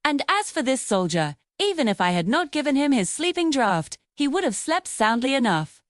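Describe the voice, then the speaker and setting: A proud young princess from a storybook , voiced by a woman narrator. She is high and animated in pitch, sure of herself and dismissive, recorded dry. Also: normal speed, no room sound. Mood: scornful. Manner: scornful, confident.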